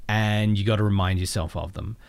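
A man chatting casually as a podcast guest and voice actor, animated and playful, often acting out his own asides. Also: fairly low pitch, a little fast, vocal fry, clear, resonant tone. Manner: animated, self-quoting, playfully profane. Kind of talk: casual interview chat with acted-out asides.

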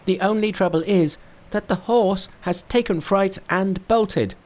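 A man voicing a brash, boastful, hot-tempered monkey king in a storybook reading. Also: measured pace. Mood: brisk. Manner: shrill, excitable, boastful.

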